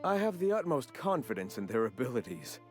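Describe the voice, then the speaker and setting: An actor playing a solemn, preaching male faction leader with a measured, sermon-like delivery. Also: mid-range voice, sing-song, faint music behind, natural voice. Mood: preachy.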